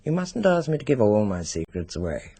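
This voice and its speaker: Secretive British occult expert, husky voice, animated swings of pitch, deliberate, coolly menacing, radio drama. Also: male voice, full character voice.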